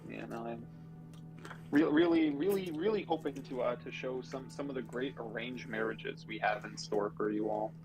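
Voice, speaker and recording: A man chatting on a livestream with his animation team, repeating himself as he searches for words. His pitch is middling, and music runs clearly underneath. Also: moderate pace, poor audio. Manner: stammering repeats, playful plugs for the show. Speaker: indie animation team member, stream co-host. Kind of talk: casual livestream chat.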